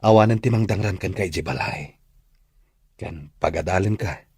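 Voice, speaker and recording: A man's voice: low voice, moderate pace, vocal fry, a little mellow, husky, dry recording, clean studio sound, heavily acted. A man playing an earnest, coaxing father in an Ilocano radio drama. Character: earnest father, Danilo, coaxing his child.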